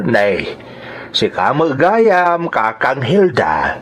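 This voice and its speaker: A man playing a meek, hesitant older father who speaks haltingly in a radio drama. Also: mid-range voice, huge pitch swings, fairly bright tone, full character voice. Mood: hesitant, puzzled.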